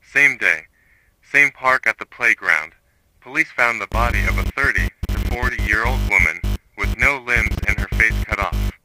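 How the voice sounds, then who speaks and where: A man playing a grim retired investigator, reciting a horror case report in a flat, formal manner. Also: lowish voice, moderate pace, gravelly, faint music behind, full character voice, rough recording. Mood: grim, detached. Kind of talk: scripted narration, analog horror case report.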